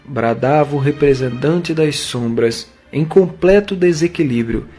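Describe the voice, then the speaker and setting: A man with a Brazilian accent giving solemn, measured dramatic narration for an audio novel. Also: on the high side, dark tone, soft background music.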